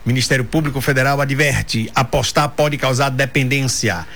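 A man hosts a casual radio talk show at an ordinary middle pitch. He banters and jokes with his co-host, then shifts into reading news items aloud. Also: normal speed, a bit bright. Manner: chatty, joking, reads news aloud.

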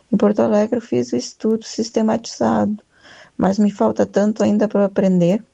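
A woman's voice: mid-range voice, creaky, mellow, breathy edge, lo-fi sound. A woman from Brazil, leaving a grateful, earnest voice message for a radio station. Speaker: radio listener. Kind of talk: recorded voice message.

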